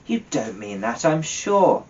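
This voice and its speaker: A distant, dullish recording of a warm-hearted young man in a storybook , acted out by a male reader. He is cheerful and earnest, with a pleading manner. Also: fairly high pitch, sing-song, slow, slight background noise, pitched above the performer's own voice. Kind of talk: dramatised storybook reading. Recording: distant, dull lo-fi microphone.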